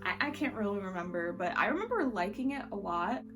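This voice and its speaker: A woman's voice: medium pitch, animated, fairly quick, music in the background. A woman talking casually and conversationally as a book vlogger, giving informal reviews with wry asides.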